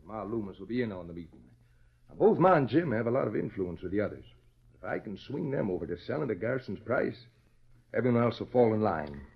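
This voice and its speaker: An actor in a 1940s radio western playing a scheming, persuasive rancher. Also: male voice, on the low side, big pitch swings, brisk, vocal fry, breathy edge, exaggerated voice. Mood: conniving. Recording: dull vintage radio recording.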